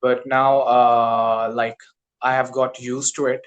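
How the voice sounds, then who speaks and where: A man's voice: mid-range voice, little pitch movement, takes it slowly, dull audio. Hesitant Pakistani man, Urdu native. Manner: careful, hesitant, many fillers. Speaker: English teacher, student on a tutoring call. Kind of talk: casual conversation practice. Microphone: video call.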